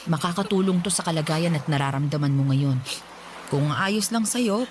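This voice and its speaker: A woman playing a caring, devout aunt in a radio drama, asking and coaxing gently. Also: sits low, noisy background, close to an everyday voice. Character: concerned, devout aunt of a sick young woman. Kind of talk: Filipino radio drama dialogue.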